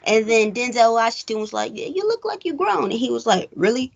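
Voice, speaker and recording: A woman talks through a film in a loose, conversational way, like a recap host thinking aloud. Her voice sits fairly high and is full of heavy vocal fry. She rambles easily from point to point. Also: big pitch swings, brisk, muffled recording. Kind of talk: casual film discussion.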